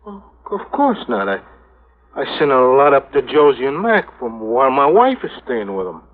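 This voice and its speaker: An actor playing a jittery, evasive small-time crook in a 1950s radio drama, stammering in rough street grammar. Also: male voice, medium pitch, big pitch swings, moderate pace. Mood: flustered, defensive. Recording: tinny, slightly noisy vintage radio recording.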